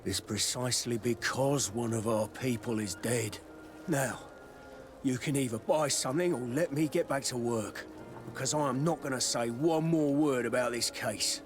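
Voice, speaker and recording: A man's voice: medium pitch, moderate pace, close to an everyday voice. A man playing a wary, blunt armorer in a video game, a guarded salesman. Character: wary, blunt male armorer and weapons merchant. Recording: music behind the voice.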